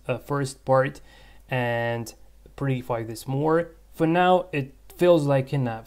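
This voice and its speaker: A man whose first language is Russian, teaching English by thinking aloud in a halting way, with many fillers and restarts. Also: medium pitch, gravelly, a little mellow. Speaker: English tutor. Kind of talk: screen-recorded language lesson.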